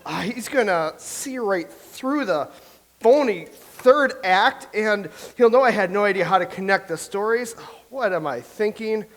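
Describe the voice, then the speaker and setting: Guy playing a nervous old-timey playwright, high-pitched, stammery and dramatic. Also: lively intonation, measured pace, a bit bright, exaggerated voice. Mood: fretful. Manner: theatrical, fretful, stammering soliloquy. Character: nervous fussy male Elizabethan playwright, self-doubting. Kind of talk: staged reading of a comedy play.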